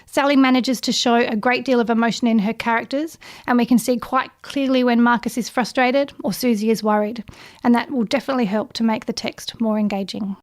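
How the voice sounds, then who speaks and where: A woman giving a prepared book recommendation, explaining things in clear, orderly steps. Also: on the high side, fairly even intonation, a little fast. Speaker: book reviewer recommending children's books.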